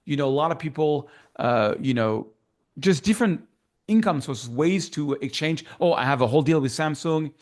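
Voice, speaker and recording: A man's voice: on the high side, lively intonation, moderate pace, darkish tone. A middle-aged man with a French accent, a guest in a casual interview, talking in an enthusiastic, rambling way full of fillers and restarts. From France. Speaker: guest photographer.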